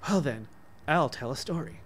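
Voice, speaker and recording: A man reading the part of an earnest little girl who tells a pointed story in a demure, deliberate way. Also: medium pitch, animated, fairly bright tone, slight background noise, natural voice.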